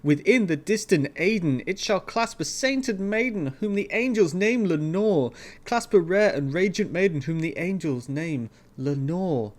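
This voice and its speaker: A man's voice: high voice, expressive, unhurried, hardly any pauses, pitched above the performer's own voice. A man playing a haunted, grief-maddened man in a theatrical, impassioned gothic poetry reading. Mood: desperate pleading.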